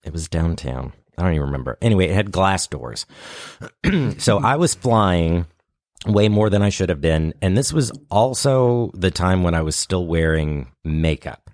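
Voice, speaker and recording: A man's voice: sits low, expressive, moderate pace, darkish tone, no echo, studio quality. A man telling a casual comic story in a conversational, self-deprecating way, as a comedian guest on a podcast.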